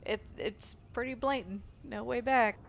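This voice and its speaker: A woman's voice: fairly high pitch, unhurried, creaky, dull audio, a little hiss or hum. A woman giving casual commentary as she plays a video game. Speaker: video game let's player. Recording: loud game music underneath. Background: game music.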